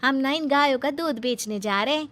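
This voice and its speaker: Woman playing a high, bright young village bride. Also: moderate pace. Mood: determined, reassuring. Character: plucky, practical young village daughter-in-law. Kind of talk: Hindi cartoon story acting.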